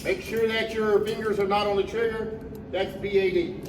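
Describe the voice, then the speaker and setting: A man's voice: high-pitched, flat delivery, normal speed. A man teaching a firearms class at an indoor range, upbeat and playful as he calls out commands. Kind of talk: loud instructions to a class. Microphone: amplified voice. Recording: echoey, distant, noisy range.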